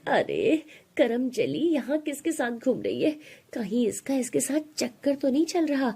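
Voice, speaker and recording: Scolding stepmother, performed by a woman narrator: middle-aged, bossy, mellow tone with a raspy edge. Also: high-pitched, sing-song, unhurried, heavily acted. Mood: furious, threatening. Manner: threatening, berating.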